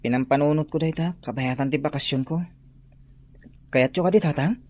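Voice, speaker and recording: An earnest young son in a radio drama, voiced by a man, soft-spoken and hesitant. His voice sits somewhat higher than most men's and often trails off quietly. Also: a little fast, natural voice.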